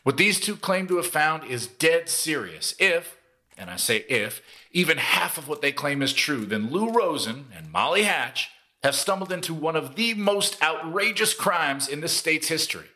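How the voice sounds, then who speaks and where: Bright-voiced, testy governor. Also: male voice, on the high side, sing-song, normal speed. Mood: grave, measured. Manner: brusque, politician's oratory. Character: testy male state governor at a press conference. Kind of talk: audio drama acting.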